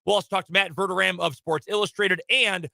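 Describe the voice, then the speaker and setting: A man hosting sports talk radio, giving opinionated, conversational commentary in a hoarse voice from a studio booth. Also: high voice, fairly even intonation, fast talker, pushed and bright, no room sound. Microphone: close studio microphone.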